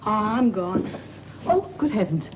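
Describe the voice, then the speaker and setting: A woman's voice: mid-range voice, big pitch swings, a little slow, music in the background. An actress playing a scatterbrained, kindly, chattering landlady in a 1940s film. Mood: flustered, kindly. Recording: muffled old film soundtrack with hiss.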